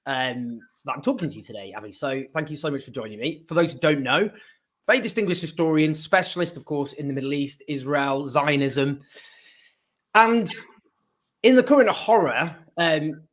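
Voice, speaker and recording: A man's voice: on the high side, animated, moderate pace, fairly bright tone. A man hosting an interview, introducing his guest eagerly with emphatic rises and some hesitations. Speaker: host.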